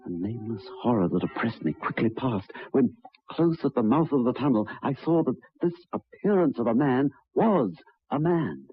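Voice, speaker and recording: An actor playing a troubled, earnest gentleman who recounts a ghostly tale in formal, deliberate, halting old-time radio style, with a husky voice. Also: medium pitch, expressive. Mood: horrified. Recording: dull vintage radio recording.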